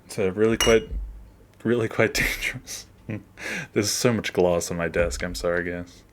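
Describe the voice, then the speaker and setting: Low-voiced man, offhand and casual. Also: steady tone, normal speed, a little hiss or hum. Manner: offhand, self-deprecating, trailing off. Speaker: animator, video creator. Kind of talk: casual behind-the-scenes screen walkthrough.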